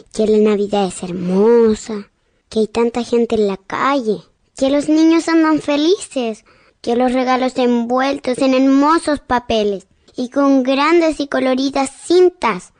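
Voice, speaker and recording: A gentle little girl with a very high voice. She sounds wistful, plaintive and earnest, in the manner of a radio play. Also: expressive, unhurried. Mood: wistful, sad. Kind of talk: radio drama acting.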